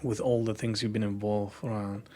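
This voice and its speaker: A man's voice: on the low side, level tone, normal speed, a little mellow. A man hosting an interview in a language that is not his first, often restarting and searching for words. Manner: searching for words, non-native grammar. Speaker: host. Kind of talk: interview questions.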